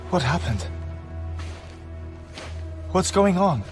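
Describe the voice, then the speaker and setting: A man playing an eccentric, bookish middle-aged man haunted by loss in a video game, speaking formally and a little haltingly. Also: sits high, lively intonation, faint music behind, full character voice. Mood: desperate, shouting. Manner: halting, pedantic, formal word choice. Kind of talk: video game cutscene monologue.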